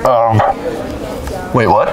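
A man in his twenties speaking through a megaphone in an awkward, deadpan prank style. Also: mid-range voice, drawn-out words, background noise. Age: 20s. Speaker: prankster and video host. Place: campus lawns and lounges, some distance from camera. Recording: megaphone voice amid background chatter.

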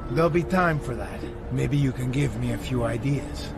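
An actor playing a tough, terse, cocky action hero in a video game, with a gravelly voice. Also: male voice, medium pitch, normal speed, very noisy, close to an everyday voice. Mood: cocky, dry. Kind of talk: video game cutscene acting. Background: music.

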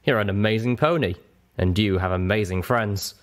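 A man's voice: lowish voice, normal speed, close to an everyday voice. A man voicing an ancient, lonely dragon guardian in a story reading, weary, blunt and grave. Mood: consoling. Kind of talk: audiobook character voice.

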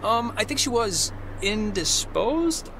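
A young man playing a rambling, excitable American teenage boy who interrupts himself, in naturalistic video game dialogue. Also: high-pitched, takes it slowly, noisy background, exaggerated voice. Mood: rambling, upbeat. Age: young adult. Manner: rambling, animated, self-interrupting.

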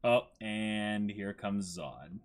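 A man's voice: lowish voice, drawn-out words. A man in his twenties giving a casual, amazed running reaction as he reads a story aloud on a stream. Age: 20s. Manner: exclaims in amazement, acts out dialogue. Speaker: streamer reading a manga aloud. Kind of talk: casual reaction commentary with dramatic reading.

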